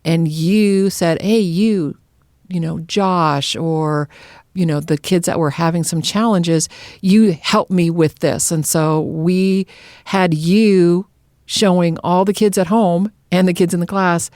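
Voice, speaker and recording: Lowish-voiced woman co-hosting a podcast. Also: fairly even intonation, slow pace, a little mellow, no echo, studio quality. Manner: agreeable, elaborates on the other speaker's points. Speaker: podcast co-host. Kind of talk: casual podcast conversation.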